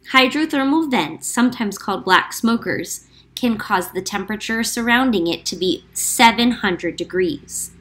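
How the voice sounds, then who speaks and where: Bright-voiced woman reading aloud. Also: mid-range voice. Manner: clear, explanatory, slows down on key facts. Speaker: teacher reading a picture book aloud. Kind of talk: read-aloud storytime narration.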